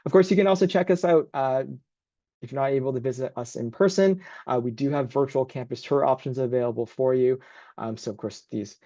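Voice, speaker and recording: A man's voice: mid-range voice, fairly quick, dark tone, no room sound, dull audio. A man working as a university admissions advisor, hosting and presenting an informal online information session.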